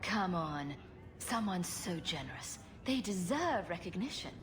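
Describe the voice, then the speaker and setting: A woman's voice: lowish voice, sing-song, unhurried, over music. An actress playing a bold, sarcastic female rogue adventurer in a video game, with a teasing, challenging manner. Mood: wry, probing. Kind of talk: video game cutscene acting. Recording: clear background ambient noise.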